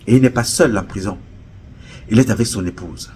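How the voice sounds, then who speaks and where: A man's voice: fairly low pitch, a little fast, a little mellow, husky. A middle-aged man from Cameroon with a Cameroonian French accent, giving an impassioned political address with emphatic, repetitive oratorical cadence. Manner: emphatic, rising to urgent appeals. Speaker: political commentator.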